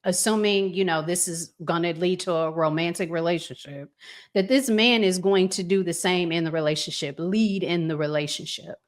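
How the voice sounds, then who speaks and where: A woman with an American accent talking reflectively as a podcast co-host, deliberately and with pauses between phrases. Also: lowish voice. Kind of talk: reflective conversational discussion.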